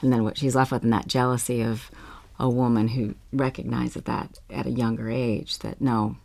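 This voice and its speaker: A woman on a discussion panel, talking casually and personally, thinking aloud in a halting, effusive way. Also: very deep voice, flat delivery, creaky, darkish tone.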